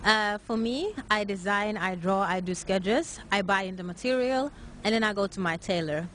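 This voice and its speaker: A woman's voice: mid-range voice, normal speed, fairly bright tone, a little hiss or hum. Hesitant nineteen-year-old woman from Norway. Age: late teens. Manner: hesitant, many filler sounds, earnest. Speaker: interviewee, young fashion designer. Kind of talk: interview answers.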